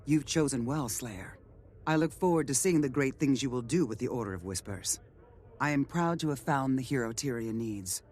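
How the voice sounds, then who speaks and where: An actor playing a noble veteran male hero in a fantasy video game, earnest and measured. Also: mid-range voice, darkish tone, natural voice. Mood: solemn, earnest. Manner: measured, solemn.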